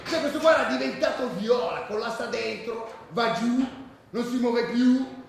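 An actor playing a rowdy, foul-mouthed football hooligan who tells his stories in a coarse, animated way in a radio drama. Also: very high voice, unhurried, vocal fry, slight background noise, exaggerated voice. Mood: amused, incredulous. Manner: coarse, animated, shouty storytelling. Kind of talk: radio drama monologue, spoken like street anecdote. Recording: roomy, distant echoing radio recording.

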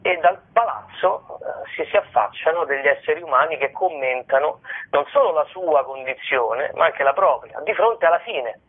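High-pitched man on a tinny radio line. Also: expressive, breathy edge. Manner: rambling, halting delivery with repeated words and tag questions. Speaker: guest, comedian and stage performer presenting his shows. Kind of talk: informal radio interview talk. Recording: band-limited radio or telephone-like sound.

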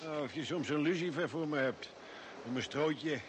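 A man's voice: fairly high pitch, normal speed, lightly acted. A man acting a casual, matey factory worker in a radio drama. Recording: old radio recording, clear background noise.

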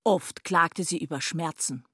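A woman's voice: low-pitched, unhurried, bright, forceful tone, slightly rough, no room sound, clean studio sound. A woman narrating a literary radio play in a wry storytelling manner.

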